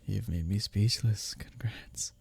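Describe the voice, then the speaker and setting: A man acting as a smitten, teasing workplace rival in an intimate romantic roleplay, with a breathy, husky, halting delivery that often drops toward a whisper. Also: on the low side, a little mellow, no room sound, full character voice. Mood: breathless, flirtatious.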